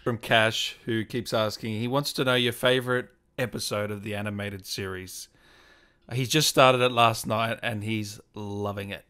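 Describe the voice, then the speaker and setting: A man's voice: fairly low pitch, steady tone, moderate pace, sharp tone, clear, resonant tone. A man hosting a casual fan interview, asking questions in an enthusiastic, agreeable way.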